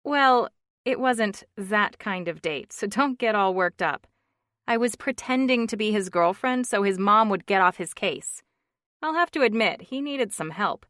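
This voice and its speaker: A woman's voice: mid-range voice, expressive, unhurried, fairly bright tone, no echo. A woman voicing a frustrated woman in her thirties, wry and exasperated, in a dry, close reading. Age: 30s.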